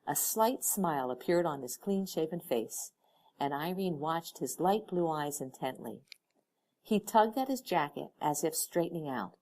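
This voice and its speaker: Low, lively woman reading a story. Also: unhurried. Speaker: audiobook reader. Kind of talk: scripted narration of fan fiction, with character dialogue.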